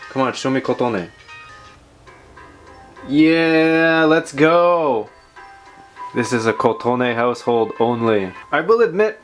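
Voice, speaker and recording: A man gives off-the-cuff vlog commentary on games and tech in an informal, slangy way. His voice sits at a fairly ordinary, middle male pitch. He is self-deprecating and conversational, with faint music playing behind him. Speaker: video host. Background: background music.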